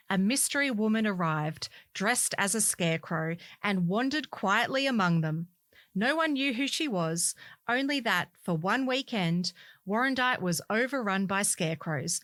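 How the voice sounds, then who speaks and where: A woman's voice: mid-range voice, expressive, unhurried, fairly bright tone, dry recording. A woman reading community newspaper stories aloud as scripted narration. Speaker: volunteer newspaper reader.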